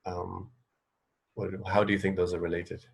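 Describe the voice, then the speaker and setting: A man's voice: deep voice, flat delivery, moderate pace, creaky, husky. A man hosting a casual radio interview, speaking tentatively and thinking aloud as he goes.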